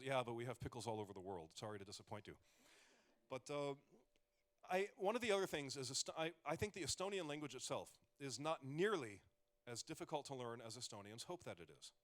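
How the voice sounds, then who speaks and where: A man's voice: medium pitch, a bit bright. A man in his forties, a comedian giving a casual talk with joking asides and rambling restarts. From United States. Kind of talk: conversational stage talk.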